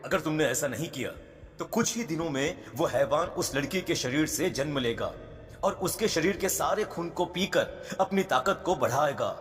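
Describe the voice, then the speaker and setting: A commanding mystic priest gives solemn, ominous instruction in a horror cartoon. His voice is a little high and rings in long reverb over faint music. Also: animated, moderate pace, a bit bright.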